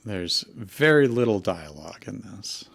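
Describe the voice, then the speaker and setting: A man's voice: fairly low pitch, very animated, unhurried, gravelly, fairly bright tone, slightly rough. A man hosting in a casual, wry, bantering chat style. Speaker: host introducing stories.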